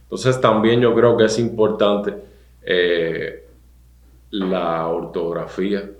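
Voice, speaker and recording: A man, a podcast co-host speaking deliberately and thinking aloud with fillers. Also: low voice, level tone, echoey room, lo-fi sound, a little hiss or hum. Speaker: podcast co-host, university professor. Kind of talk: panel discussion on a podcast.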